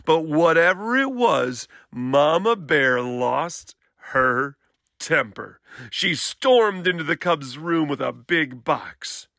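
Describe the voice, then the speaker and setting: Bright, creaky-voiced male storyteller. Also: on the high side, animated, slow, dry recording, clean studio sound. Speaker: read-aloud storyteller. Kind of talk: expressive scripted children's story narration.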